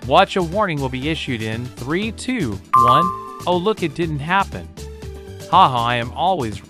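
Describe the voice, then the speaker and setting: A man's voice: on the high side, big pitch swings, normal speed, loud music behind the voice. A man hosting a show, talking casually about the weather in a livestream style. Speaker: show host.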